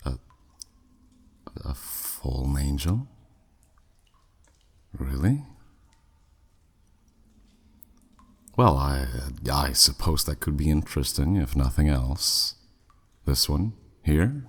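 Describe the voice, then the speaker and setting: An exceptionally deep-voiced demon played by a man speaks slowly, with a husky rasp. He is a haughty, flirty buyer in an ASMR audio drama, drawling with sardonic, dismissive skepticism. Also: full character voice. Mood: intrigued. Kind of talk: scripted ASMR audio roleplay.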